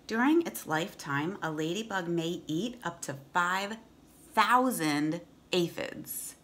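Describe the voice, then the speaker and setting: A woman's voice: fairly low pitch, animated, slow, a bit bright. A woman in her thirties reading a children's picture book aloud as a teacher, enunciating for young listeners and explaining as she goes. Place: room in a home.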